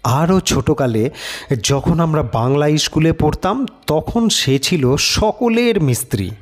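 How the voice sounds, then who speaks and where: Male audiobook narrator for literary fiction: expressive, very lively intonation, unhurried pace. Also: on the high side. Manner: expressive storytelling, acts out characters' lines. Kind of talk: scripted literary story narration.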